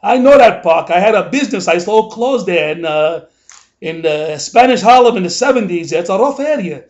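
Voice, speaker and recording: A man's voice: sits high, expressive, normal speed. A man playing a grumbling older male New Yorker, ranting in a rambling, indignant comic monologue full of asides.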